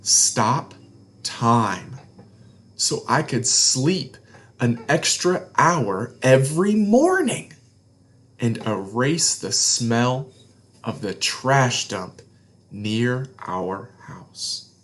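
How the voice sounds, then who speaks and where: A man reading a picture book aloud to children as a read-along host, with deliberate, emphatic phrasing. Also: medium pitch, big pitch swings, fairly bright tone. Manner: deliberate phrasing, emphatic, explains words to young listeners.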